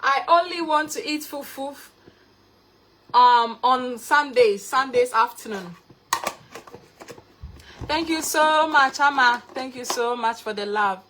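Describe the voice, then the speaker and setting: A woman's voice: sits high, slow, pauses often, slight background noise. Ghanaian woman greeting her livestream viewers. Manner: warm, repetitive greetings of viewers by name. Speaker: cooking livestreamer. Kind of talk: live cooking stream, greeting viewers.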